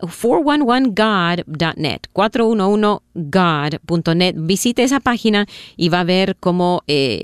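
A woman's voice: mid-range voice, clean tone, dry recording, studio quality. A woman hosting an interview, explaining things plainly and asking short questions. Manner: explanatory, then short prompts and backchannels. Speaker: interview host. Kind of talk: radio-style interview hosting.

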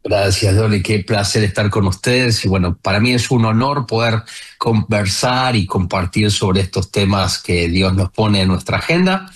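A man's voice: on the low side, normal speed, husky. A man in his thirties with an Argentine accent, telling his story earnestly as a guest on a radio interview. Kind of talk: casual interview talk.

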